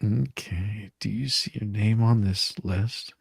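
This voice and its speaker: A man reading the part of a methodical lawyer questioning a witness in a dramatized deposition, formal and a little halting. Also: fairly low pitch, gravelly, breathy edge, dry recording, full character voice. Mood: businesslike.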